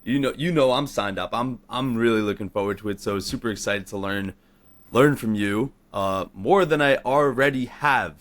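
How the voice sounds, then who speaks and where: A man's voice: medium pitch, moderate pace, fairly bright tone. A man hosting a casual, conversational interview. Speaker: podcast interviewer.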